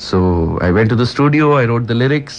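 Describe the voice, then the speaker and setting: A man with a bassy voice, talking fast as an interview guest, halting with fillers and restarts. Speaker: interview guest, actor and singer. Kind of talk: casual interview, mixing English and Telugu.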